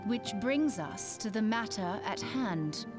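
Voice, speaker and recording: A woman's voice: mid-range voice, expressive, takes it slowly. An actress playing a cool, learned, dry-witted female adviser in a fantasy game, with formal, archaic phrasing. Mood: cool, informative. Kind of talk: scripted fantasy game dialogue. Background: game music.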